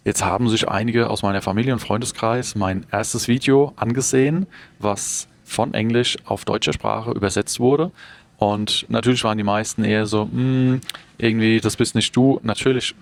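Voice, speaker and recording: Low gravelly-voiced male vlogger. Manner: conversational, asks viewers questions directly. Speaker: travel vlogger and onboard courier. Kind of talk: casual vlog talk to camera.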